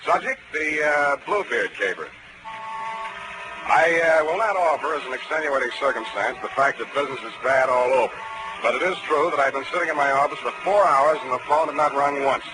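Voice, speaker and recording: An actor playing a hard-boiled, wisecracking private detective in a 1940s radio drama, with a dry, slangy delivery. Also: male voice, fairly high pitch, fairly quick, noisy background, lightly acted. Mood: wry narration. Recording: vintage 1940s radio broadcast, music underneath.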